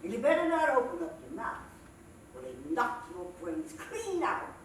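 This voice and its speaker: A woman performing as a sassy, cranky old woman comedian, telling stand-up stories in a folksy, drawn-out way with dramatic pauses. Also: high voice, big pitch swings. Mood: sassy, scolding. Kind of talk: theatrical stand-up storytelling monologue. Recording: echoey, noisy stage recording from far off.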